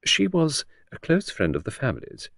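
A man's voice: a little high, wildly swooping pitch, moderate pace, fairly bright tone, dry recording, studio quality, voice pushed up, exaggerated voice. A man voicing a cool, brusque young woman secretary in an audiobook, with precise, faintly scornful speech. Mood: scornful, guarded. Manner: crisp, precise, prompt, scornful. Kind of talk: audiobook character reading.

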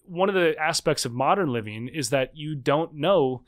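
A man's voice: fairly high pitch, expressive, moderate pace. A man in his thirties talking as a podcast guest, thoughtful and hedging, with frequent fillers and restarts. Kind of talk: conversational interview.

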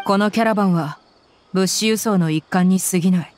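A woman's voice: mid-range voice, brisk, a little mellow, dry recording, soft background music, natural voice. An actress playing a tough, confident young woman mercenary in a Japanese anime game, with blunt, intimidating phrasing. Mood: focused.

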